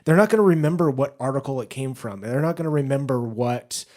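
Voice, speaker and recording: A man's voice: mid-range voice, quick pace, a little mellow. A man co-hosting a podcast, explaining his points at length in a casual chat and laughing easily. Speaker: podcast co-host.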